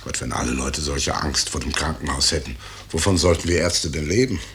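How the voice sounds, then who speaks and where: A man's voice: very deep voice, a little fast, a bit bright. A man acting a brusque, patronising senior surgeon, a middle-aged professor, in a 1950s radio drama. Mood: offhand, patronising. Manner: offhand, patronising. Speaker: actor. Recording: noisy old 1950s radio recording.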